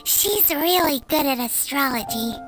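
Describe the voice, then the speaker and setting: A woman voicing a tiny, blunt, chatty childlike companion creature in a video game, with a squeaky voice. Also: expressive, a little slow, rough recording. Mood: frank. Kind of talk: English video game voice acting. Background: game music.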